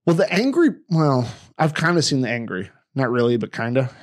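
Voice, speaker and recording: A man's voice: mid-range voice, very animated, fairly quick. Emotional swearing man, rambling. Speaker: podcast co-host. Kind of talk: casual podcast chat.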